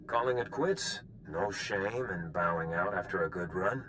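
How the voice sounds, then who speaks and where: An actor playing a composed, wry official of a bounty hunt in a video game, measured and businesslike. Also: male voice, sits low, a bit bright, a little hiss or hum, low-quality recording.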